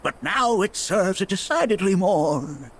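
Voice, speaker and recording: Eccentric elderly game sage: high, wildly swooping, slow and musing, kindly. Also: male voice, very animated, a bit bright, slight background noise, exaggerated voice. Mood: musing, proud. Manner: rambling, musing, theatrical. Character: eccentric, kindly, absent-minded old sage who tinkers. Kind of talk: video game character acting.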